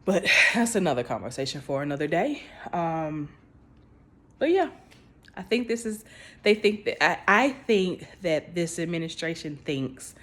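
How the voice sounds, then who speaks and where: A woman's voice: low-pitched, big pitch swings, unhurried. A woman talking straight to her viewers in a casual, emphatic commentary, speaking informally and restarting her phrases as she goes. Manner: informal speech with dropped grammar. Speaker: vlogger.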